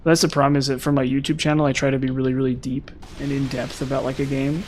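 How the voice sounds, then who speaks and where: Flat-voiced young man, slightly hoarse. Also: medium pitch, fast. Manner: rambling, lots of "like" and "um". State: slightly hoarse from long talking. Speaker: streamer. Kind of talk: casual live-stream chat while gaming.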